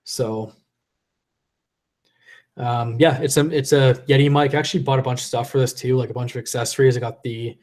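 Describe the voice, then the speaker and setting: A man's voice: medium pitch, steady tone, a little fast, long pauses, a little mellow. Rambling tipsy man on a livestream. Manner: rambling, loose and profane, reading chat aloud. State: tipsy from drinking. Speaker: livestream host. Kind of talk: casual live stream chat. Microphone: desk USB condenser mic.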